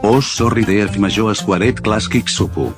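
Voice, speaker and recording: A man delivering amateur cartoon dialogue with loud music playing. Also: on the low side, moderate pace.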